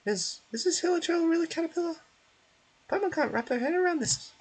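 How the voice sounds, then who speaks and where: A man voicing a tiny, excitable, easily bewildered companion sprite in an amateur game dub. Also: extremely high pitch, brisk, pitched above the performer's own voice.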